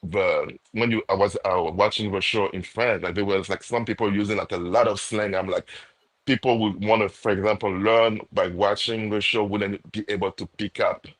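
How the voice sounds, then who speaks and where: Non-native English speaker, casual co-host, low voice, laughs a lot. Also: male voice, fairly even intonation, moderate pace, fairly bright tone. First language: not English. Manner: laughs often, searches for words, lots of "like". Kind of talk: casual live chat.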